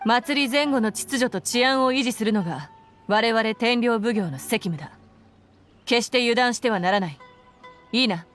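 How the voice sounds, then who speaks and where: A woman's voice: a little high, quick pace, a bit bright, natural voice. A woman playing a stern, dutiful military commander in a video game, formal, clipped and earnest. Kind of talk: Japanese video game voice acting. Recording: faint music behind.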